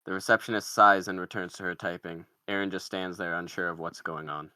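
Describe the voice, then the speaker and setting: A man with a low, forceful, bright voice reads out screenplay stage directions. The recording is dry and close, and the delivery is scripted narration for a table read. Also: steady tone, fairly quick, clean tone, no room sound.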